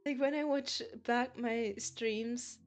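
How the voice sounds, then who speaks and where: Giggly German woman, slow and high. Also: steady tone, faint music behind. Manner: giggly, chatty, often laughs between sentences. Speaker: game streamer. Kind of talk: casual live-stream chat with viewers.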